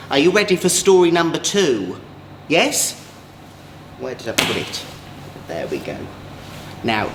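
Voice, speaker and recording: Campy man storyteller, swooping, theatrical delivery. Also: medium pitch. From England. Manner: campy, playful, exaggerated, chatty asides to young listeners. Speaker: drag queen children's storyteller. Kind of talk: theatrical children's story reading. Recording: roomy echo, faint background noise.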